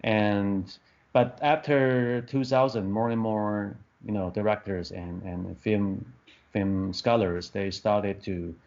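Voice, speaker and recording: A man's voice: fairly low pitch, fairly even intonation, takes it slowly, a little mellow. A man in his fifties from Taiwan, speaking English as an in an interview, hesitant, with many restarts and fillers as he searches for words. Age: 50s. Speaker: film director. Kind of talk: interview answers. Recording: dull lo-fi podcast audio.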